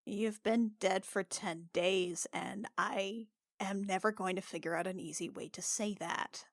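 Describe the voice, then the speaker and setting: A woman plays a kindly healer woman in a tabletop roleplay. She talks slowly and haltingly, awkward but gentle, recorded close and dry. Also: mid-range voice, fairly even intonation, no echo, lightly acted. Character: gentle, awkward female healer who revives the dead.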